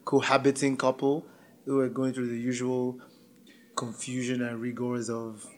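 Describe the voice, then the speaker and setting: A man talks casually as a podcast co-host. His pitch is average for a man, and his wording is loose, slangy and conversational. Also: level tone, normal speed, slight background noise.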